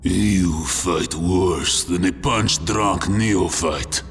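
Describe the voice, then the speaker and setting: Casting: male actor as grieving, merciless space warrior, slow and snarling, contemptuous, for sci-fi audio drama; long echo, music underneath. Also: low-pitched, a bit bright, heavily acted. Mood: cold contempt. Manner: slow, snarling, scornful enunciation. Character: grieving, scornful, brutal male super-soldier warrior. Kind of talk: dramatic science-fiction audio story. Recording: long cavernous reverb over music.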